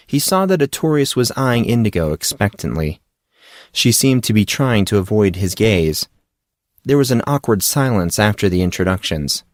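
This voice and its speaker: A man's voice: fairly low pitch, no echo, clean studio sound. A man reading scripted fiction as an audiobook narrator.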